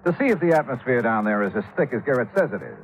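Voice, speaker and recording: Wry, animated American investigator. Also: male voice, mid-range voice, slight background noise, rough recording. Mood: dry, skeptical. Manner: brisk, dry, exasperated, plain-spoken. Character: no-nonsense, wry male insurance investigator. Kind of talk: 1950s radio drama acting. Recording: vintage 1950s radio recording.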